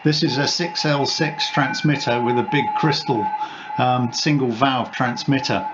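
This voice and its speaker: A man with a mid-pitched voice gives an off-the-cuff hobbyist demonstration. He explains things in a halting, hesitant way, often restarting his phrases. The sound is slightly dull, with clear noise behind him. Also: steady tone. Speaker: amateur radio hobbyist demonstrating equipment. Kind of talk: informal unscripted demonstration.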